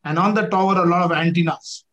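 High-pitched Indian man hosting an interview. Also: fairly even intonation, quick pace, fairly bright tone, lo-fi sound. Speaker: interview host, former air force officer. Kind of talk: conversational interview.

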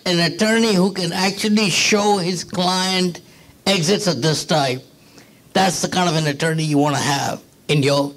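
A man's voice: on the high side, a bit bright. A man who organizes the group, introducing the guest speaker in a rambling way, with lots of restarts and open enthusiasm.

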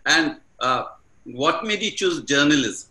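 Bright-voiced male interview host. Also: on the high side, expressive, slow, reverberant, poor audio. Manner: friendly and curious, laughs along, loose phrasing. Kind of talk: casual interview chat.

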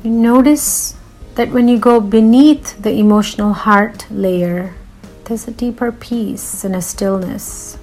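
A woman leading a guided meditation in a soothing, unhurried manner with long pauses. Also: mid-range voice, a little mellow, faint music behind, poor audio.

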